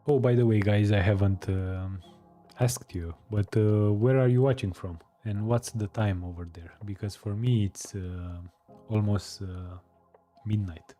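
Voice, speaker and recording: A man hosting a live stream, chatting casually with viewers, hesitant with many pauses and fillers. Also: lowish voice, steady tone, dark tone. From Romania. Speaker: live-streaming coding host. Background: faint music.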